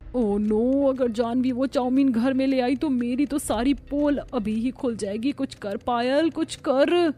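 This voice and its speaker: A woman voicing a scheming young new bride in an animated family story, often muttering to herself. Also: high-pitched, measured pace. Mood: anxious, urgent. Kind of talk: Hindi cartoon story dialogue. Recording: music behind the voice.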